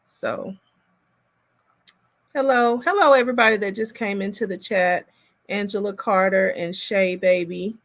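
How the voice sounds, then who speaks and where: A woman hosting a casual reaction livestream, thinking aloud in a halting, musing way. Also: medium pitch, steady tone.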